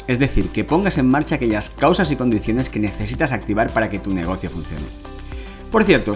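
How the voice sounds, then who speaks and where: Male podcast host, medium pitch, music. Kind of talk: scripted podcast intro and casual interviewing.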